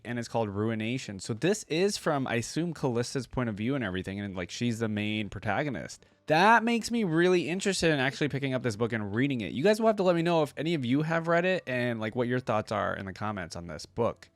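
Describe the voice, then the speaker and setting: Animated young man reacting casually. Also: medium pitch, no echo. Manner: informal, thinking aloud. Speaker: reaction video host. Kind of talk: casual running commentary on videos.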